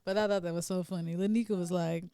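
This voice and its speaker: A soft-spoken young woman, co-hosting a casual podcast chat with her best friend and laughing often. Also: on the low side, fairly even intonation, quick pace, slight background noise. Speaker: podcast co-host.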